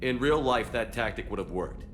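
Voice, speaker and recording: A man's voice: medium pitch, animated, normal speed, slight background noise, natural voice. A man playing a steadfast space military commander in a video game, speaking in a firm, direct, resolute way. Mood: dry, easygoing. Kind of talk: video game dialogue acting.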